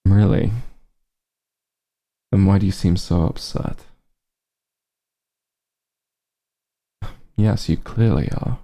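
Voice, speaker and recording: A man playing a gentle, concerned doctor who is an old friend, speaking slowly and soothingly in a soft ASMR roleplay, with a low, husky voice. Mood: concerned, sympathetic. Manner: slow, soothing, reassuring.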